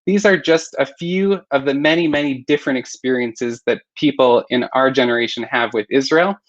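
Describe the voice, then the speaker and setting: A man hosts a youth panel over a video call and introduces the speakers one after another. His voice sits at an ordinary middle pitch. The sound is dry and a little dull, with no room around it. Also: moderate pace, dry recording. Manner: steady, with frequent "uh" fillers. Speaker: panel moderator. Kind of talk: moderating an online panel.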